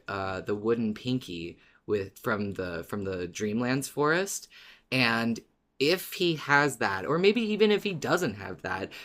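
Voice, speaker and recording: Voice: medium pitch, fairly bright tone. A podcast co-host in a casual fan discussion, talking conversationally with the odd chuckle.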